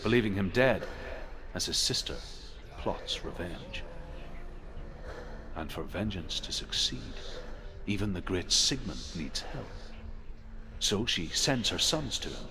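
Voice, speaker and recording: Grave male legend-teller for a video game, deep-voiced, weighty and dramatic. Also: low-quality recording. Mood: grave, intense. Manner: solemn, deliberate, dramatic. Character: grave male storyteller recounting Norse legends. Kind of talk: dramatic scripted storytelling.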